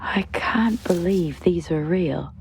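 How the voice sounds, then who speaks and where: A woman acting a wary, determined explorer in a video game, measured and understated, often murmuring. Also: lowish voice, gravelly, noisy background. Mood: awed, self-steadying.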